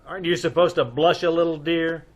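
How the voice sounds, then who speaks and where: A man playing a wry, reluctant married detective with deadpan delivery in an old-time radio comedy-mystery. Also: on the high side, a little fast, natural voice. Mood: dry, teasing. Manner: dry, deadpan wisecracking.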